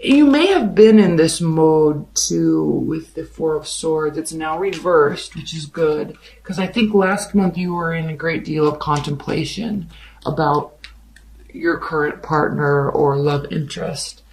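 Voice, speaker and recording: Tarot reader with a raspy worn-out voice, casual and pushy, leans on every point. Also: medium pitch, unhurried, a little mellow, slight background noise, low-quality recording. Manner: emphatic, blunt, pep-talk delivery. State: hoarse, raspy from overuse. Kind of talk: casual tarot love reading.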